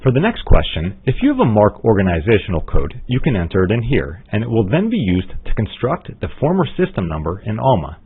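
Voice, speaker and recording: Low-voiced man on a phone-like line. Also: huge pitch swings, a little fast, few pauses, husky. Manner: methodical, instructional. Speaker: software trainer. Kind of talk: scripted step-by-step tutorial narration. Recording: muffled, phone-like narrow-band audio.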